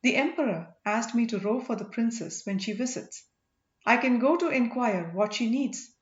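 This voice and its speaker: A woman's voice: fairly high pitch, normal speed, close to an everyday voice. A woman voicing a loyal, teasing boatman husband in an audiobook, playful and earnest. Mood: thoughtful, purposeful. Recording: echoey room, dull lo-fi sound.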